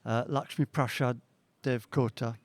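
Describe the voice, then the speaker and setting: A middle-aged or older man speaking haltingly and informally as he introduces readers at a poetry event. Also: mid-range voice, fairly even intonation, no echo. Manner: hesitant, halting. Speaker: event host introducing poets. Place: outdoors at a gallery event.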